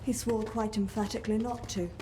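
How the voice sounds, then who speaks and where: A woman's voice: medium pitch, little pitch movement, brisk, lightly acted. A woman voicing a stern elf woman who guards a captive, firm and commanding.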